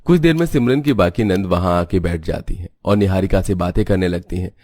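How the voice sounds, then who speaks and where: A man's voice: deep voice, brisk, darkish tone, dry recording. A man reading scripted narration for a cartoon story as its storyteller. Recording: music behind the voice.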